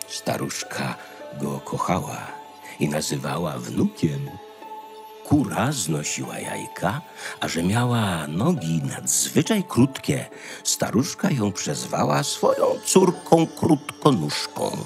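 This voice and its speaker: A man narrating a scripted fairy tale in a measured, expressive storytelling manner. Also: low voice, gravelly, soft background music.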